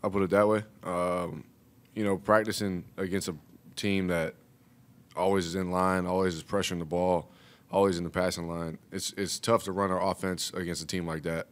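A young man, a college basketball player, answering questions at a postgame press conference, relaxed and full of "you know". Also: low voice, normal speed. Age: young adult. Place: press room, seated at table. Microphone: table mic.

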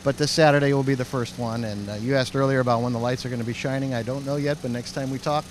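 A middle-aged man, a project manager, answering interview questions outdoors at a construction site in a plain-spoken, halting way with many fillers, speaking into a handheld mic. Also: mid-range voice, fairly even intonation, slight background noise. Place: outdoors in an unfinished stadium under construction. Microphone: host's handheld microphone.

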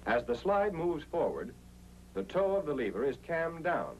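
American man, animated 1940s training-film narrator. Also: fairly high pitch, moderate pace, dull audio. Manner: methodical, explanatory. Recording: noisy 1940s film soundtrack with hum.

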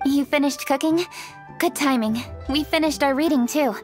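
Woman playing a poised, high-voiced young official. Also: normal speed, natural voice. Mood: polite, businesslike. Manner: formal, crisp, composed. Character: poised young noblewoman official, brisk, composed and polite. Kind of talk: video game voice acting. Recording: game music behind the voice.

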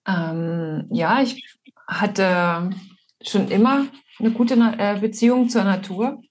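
Female guest author, 40s, German accent. Husky, breathy tone, mid pitch, reflective and halting storytelling. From Potsdam, eastern Germany. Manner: halting, thoughtful. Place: indoor room at home, on a video call.

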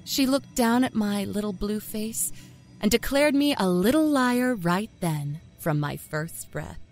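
Lively, sly Southern small-town woman, wry confiding horror-tale narrator, music underneath. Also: medium pitch, unhurried. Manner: wry, folksy, confiding storyteller. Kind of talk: dramatic first-person horror story narration. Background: musical score.

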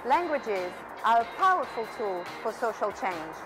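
A woman's voice: a little high, very animated, measured pace, poor audio. A French-speaking woman from Belgium in her forties, presenting a scripted promotional video. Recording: video played with music behind. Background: music.

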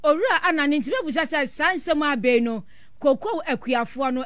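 A woman's voice: high voice, fairly bright tone, no room sound. A woman with a Ghanaian accent hosting a radio interview, putting questions to a guest. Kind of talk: broadcast interview.

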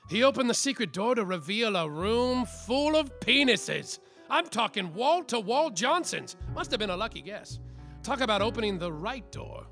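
A man acts out a hard-boiled, world-weary private eye with a gravelly edge. His high voice swings around a lot as he grandly narrates a slapstick noir radio play. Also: very animated, normal speed, a bit bright, faint music behind, full character voice. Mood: exasperated. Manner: melodramatic, self-important.

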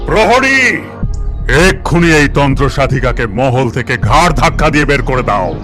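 A man's voice: medium pitch, sing-song, moderate pace, fairly bright tone, soft background music. A man voicing a proud, protective king in a cartoon fairy tale, formal and dramatic. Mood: indignant. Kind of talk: animated fairy-tale acting.

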